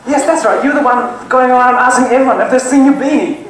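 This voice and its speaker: A man's voice: extremely high pitch, fast, a bit bright, recorded from a distance. A young man performing a casual, joking skit on a large stage, part of a student production. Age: young adult. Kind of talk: amateur stage play dialogue. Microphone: far stage microphones. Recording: very echoey hall with noise.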